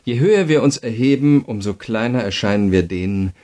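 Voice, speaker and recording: An actor playing a calm, insistent state prosecutor who questions a prisoner in a measured way, in a radio crime drama. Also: male voice, on the low side, lightly acted. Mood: insistent, challenging.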